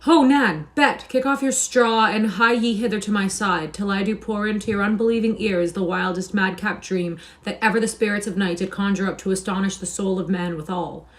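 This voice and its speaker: A woman voicing an excitable poor boy who speaks in archaic, exclamatory phrases. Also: on the low side, fairly quick, lightly acted. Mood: rapturous. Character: excitable poor boy dreaming of becoming king.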